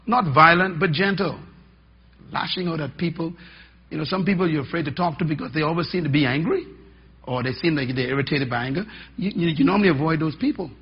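Middle-aged male pastor, husky, emphatic folksy sermon delivery. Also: on the high side, fast talker. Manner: emphatic, rising and dropping for effect, folksy asides. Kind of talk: sermon teaching. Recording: dull lo-fi sermon recording.

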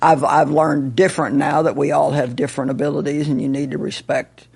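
Gravelly older man in his seventies. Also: fairly high pitch, flat delivery, a little fast. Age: 70s. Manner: reflective, candid, frank storytelling with self-deprecating humor. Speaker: minister and author. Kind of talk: casual talk-show chat.

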